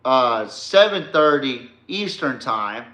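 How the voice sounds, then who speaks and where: Male podcast host, forceful bright voice, unhurried pace, casual sports talk, slangy and folksy, echoey room. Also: medium pitch, very animated. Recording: roomy, echoey sound.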